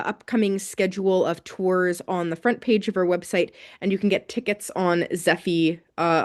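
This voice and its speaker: A woman's voice: fairly low pitch, darkish tone. A woman co-hosting a live radio show, giving listeners event details in a friendly, informative way with some fillers. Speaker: radio co-host.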